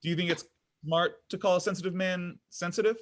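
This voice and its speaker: A man's voice: sits high, fairly even intonation, a little fast, lightly acted. An actor at a table read playing a needy, pushy thirty-something man on a date, whiny, defensive and over-explaining. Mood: awkward, defensive. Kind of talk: table read of a comedy pilot.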